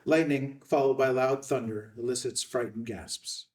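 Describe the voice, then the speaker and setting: A man's voice: medium pitch, normal speed, a little mellow, recorded from a distance. A man reading out stage directions as scripted narration at a film table read.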